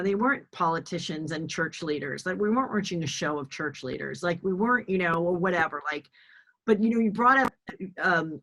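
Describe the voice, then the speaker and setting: A middle-aged woman hosts a casual podcast conversation in a bright, forward voice. She rambles, restarts her sentences and fills the gaps with filler words as she talks. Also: lowish voice, low-quality recording. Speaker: podcast host. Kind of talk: casual chat.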